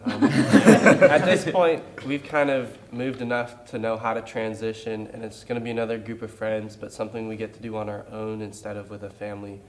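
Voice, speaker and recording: A teenage boy, a high school senior, giving upbeat answers in an interview. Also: steady tone, normal speed, few pauses, background noise. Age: late teens.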